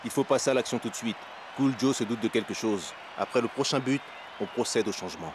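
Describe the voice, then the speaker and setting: A man's voice: mid-range voice, lively intonation, fast, natural voice. Man as scheming ringleader in crowd noise. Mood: conspiratorial. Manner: conspiratorial, bossy. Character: scheming male triplet ringleader, rival soccer player. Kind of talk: French cartoon dub. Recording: noisy outdoor crowd ambience.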